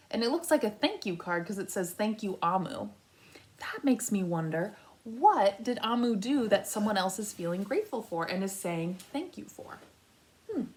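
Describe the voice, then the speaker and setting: A woman teaching a read-aloud lesson for young children, speaking directly to them and thinking aloud as she goes. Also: medium pitch. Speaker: kindergarten teacher reading aloud.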